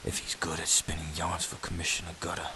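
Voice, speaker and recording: An actor playing a wounded, menacing gangster boss with a damaged, halting voice in an old-time radio drama. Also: male voice, low-pitched, monotone, close to an everyday voice. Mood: cold, commanding. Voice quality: gravelly damaged voice. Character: wounded gangster boss, frantic then cold and commanding. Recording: noisy track with ambience behind.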